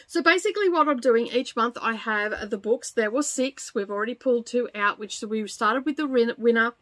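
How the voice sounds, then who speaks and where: High-voiced middle-aged woman, chatty book vlogger. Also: normal speed, a bit bright, dry recording. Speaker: book channel host. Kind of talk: casual chatty vlog presenting.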